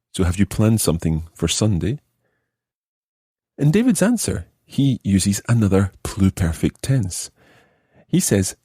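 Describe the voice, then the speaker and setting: A man's voice: fairly low pitch, mellow, slightly rough, dry recording, studio quality. A man teaching a language lesson, explaining and translating phrase by phrase with careful enunciation. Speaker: language teacher, podcast host.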